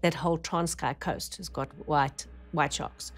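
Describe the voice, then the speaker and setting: Woman, urgent halting retelling. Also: deep voice, fairly even intonation. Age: middle-aged. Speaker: shipwreck survivor interviewee. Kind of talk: documentary testimony. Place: dark indoor interview studio.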